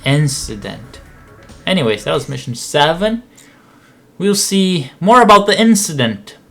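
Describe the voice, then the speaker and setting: A man's voice: sits high, sing-song, moderate pace, creaky, sharp tone. A man giving casual, rambling, explanatory gameplay commentary on a video game. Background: game music and aircraft sounds.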